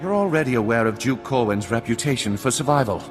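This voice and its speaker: An actor playing a composed, calculating nobleman in a video game, formal and measured with a dry wit. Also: mid-range voice, animated, natural voice. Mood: cool. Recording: long hall reverb, faint music.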